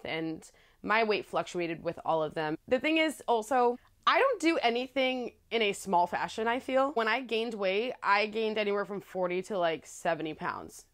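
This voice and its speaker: A young woman in her twenties with a low voice, telling her story candidly to the camera in a casual vlog, chatty and playful with frequent laughs. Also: fairly bright tone. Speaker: vlogger. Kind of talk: casual talking-head storytelling. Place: small living room with plants, indoors.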